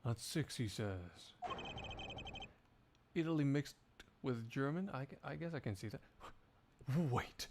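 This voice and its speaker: Male streamer, medium pitch: casual slangy game commentary, deadpan and joking. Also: takes it slowly, long pauses, background noise. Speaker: streamer doing a live fandub.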